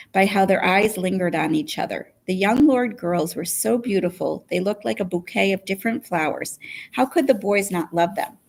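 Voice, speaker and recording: A woman narrating a first-person story as an audiobook reader, in an expressive, storytelling way. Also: medium pitch, vocal fry.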